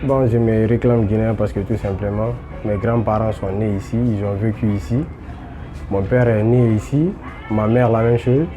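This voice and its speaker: A man from Guinea with a Guinean French accent reasoning in a measured way in a street interview. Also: on the low side, fairly even intonation, noisy background.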